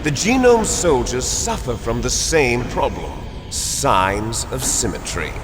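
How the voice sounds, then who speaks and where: An actor playing an embittered, grandiose clone soldier villain in a video game, delivering menacing, theatrical monologues. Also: male voice, mid-range voice, big pitch swings, slow pace. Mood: intense, lecturing. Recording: echoey hall reverb, music underneath.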